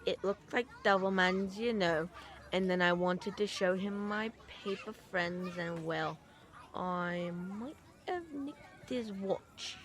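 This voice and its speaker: A woman's voice: mid-range voice, takes it slowly, natural voice. A woman voicing a cheeky, stubborn young boy with a blunt, childlike manner in an audio drama. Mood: sheepish.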